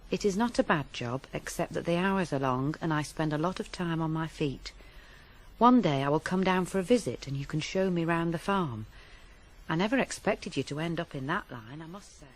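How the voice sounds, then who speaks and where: A woman playing an absent mother who reads her letter aloud in a radio drama, measured and plain-spoken. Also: low-pitched, lively intonation. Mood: apologetic.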